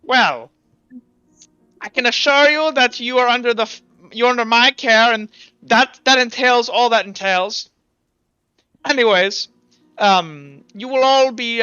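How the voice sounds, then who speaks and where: A man's voice: very high-pitched, pushed and bright, clean tone, no room sound, lo-fi sound, heavily acted. A man voicing a pompous, ominously formal Inquisition official in a tabletop game.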